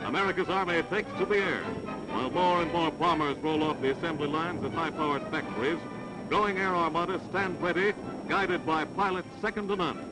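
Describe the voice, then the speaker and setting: A man's voice: a little high, brisk, low-quality recording. A man from the United States giving emphatic, stirring scripted narration for a vintage newsreel. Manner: emphatic, stirring announcer delivery. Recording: vintage newsreel soundtrack over loud music. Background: loud music.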